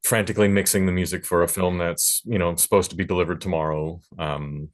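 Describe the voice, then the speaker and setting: A man's voice: low voice, steady tone, a little fast. A man speaking casually as a panelist about his working life as a sound engineer and teacher. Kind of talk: casual panel talk about own career.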